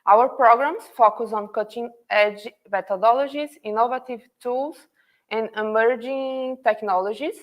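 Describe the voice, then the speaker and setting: Higher-voiced woman hosting a webinar. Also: unhurried, lo-fi sound. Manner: scripted, polite, small restarts. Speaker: event host, institutional manager. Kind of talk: formal webinar welcome.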